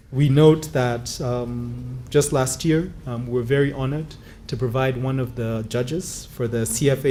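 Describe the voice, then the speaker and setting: A man giving a formal conference speech, measured, with frequent fillers. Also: mid-range voice, warm tone. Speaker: conference speaker. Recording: faint background noise.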